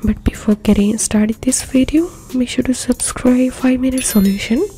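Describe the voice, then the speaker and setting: A woman narrating a scripted how-to software tutorial as host, giving simple step-by-step instructions. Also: sits high, steady tone, normal speed. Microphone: voice-over for screen recording. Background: background music.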